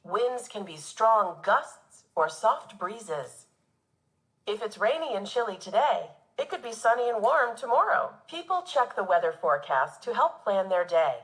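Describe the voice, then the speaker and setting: A woman teacher reading a nonfiction children's book aloud in an expressive, instructive way for young pupils. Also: mid-range voice, a little slow, poor audio.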